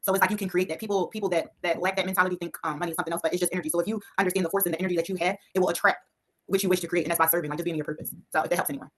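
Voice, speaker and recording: A woman's voice: fairly low pitch, steady tone, rapid-fire. A woman taking part in a workshop, sharing spiritual ideas in an earnest, rambling way. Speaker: workshop participant.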